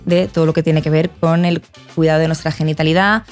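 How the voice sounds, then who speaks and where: Fast-talking young woman co-host, low voice. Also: level tone, darkish tone, faint music behind. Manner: quick, explanatory. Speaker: podcast co-host. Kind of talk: casual podcast hosting. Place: podcast studio with plants and a backdrop. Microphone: desk mic, close.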